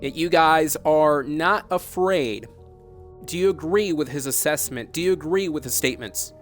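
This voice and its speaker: A man with a slightly high voice hosts an interview over background music. He is earnest and insistent, polite in form but reproachful as he presses long, challenging questions. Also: moderate pace. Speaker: broadcaster interviewing by phone.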